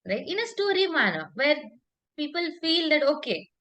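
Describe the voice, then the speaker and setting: A woman's voice: sits high, sing-song, moderate pace, bright, forceful tone. A woman coaching in conversation, speaking emphatically, repeating phrases and often checking for agreement.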